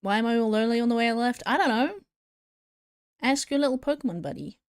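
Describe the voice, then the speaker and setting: A woman's voice: a little high, animated, fast, smooth, no echo, clean studio sound. A woman streamer chatting casually and giggling as she answers viewers while she draws. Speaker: VTuber streamer.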